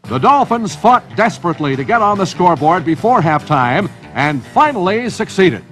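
An American man reading scripted, dramatic narration for a sports highlight film. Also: very animated, normal speed. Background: music.

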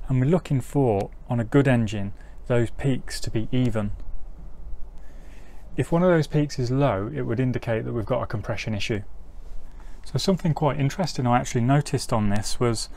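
A man's voice: mid-range voice, normal speed, a little mellow. A middle-aged man working as a mechanic, giving an informal, step-by-step technical tutorial outdoors on a driveway. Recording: clear ambient noise, outdoor driveway.

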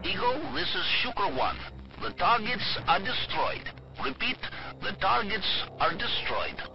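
An actor playing an urgent, focused Soviet attack pilot reporting over military radio. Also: male voice, high voice, big pitch swings, unhurried, vocal fry, lo-fi sound, music in the background, heavily acted.

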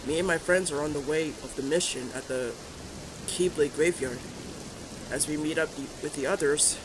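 A man playing a wounded, nervous teenage boy hero in an amateur ASMR audio drama, speaking haltingly with stammers. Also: a little high, close to an everyday voice. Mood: sad, subdued. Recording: heavy rain and thunder noise.

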